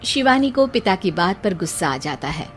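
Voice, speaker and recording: A woman's voice: medium pitch, sing-song, normal speed, breathy edge, faint music behind. A woman narrating a scripted moral story as the storyteller.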